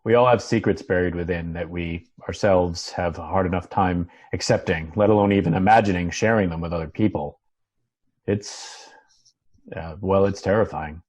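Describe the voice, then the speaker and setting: A man's voice: deep voice, a little mellow. A man reading the part of an uneasy, questioning heir in a TV drama table read, speaking hesitantly. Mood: reflective, uneasy. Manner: hesitant, halting. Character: Muff, bewildered male heir, uneasy and questioning. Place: home, remote video call.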